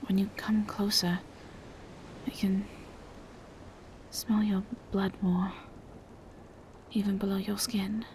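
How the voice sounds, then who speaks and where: A woman playing a gentle, courteous female vampire in a roleplay audio drama, soft-spoken, polite and a little hesitant. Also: medium pitch, level tone, slow pace, pauses often, a little hiss or hum. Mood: uneasy, hushed.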